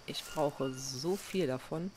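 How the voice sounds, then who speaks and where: A woman's voice: low-pitched, slow, very noisy. A woman chatting casually to herself while she plays and crafts in a survival game, often poking fun at herself. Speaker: gaming video host. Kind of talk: casual let's play commentary.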